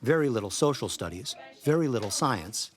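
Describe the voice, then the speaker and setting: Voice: medium pitch, expressive, brisk. A reporter delivering scripted news narration.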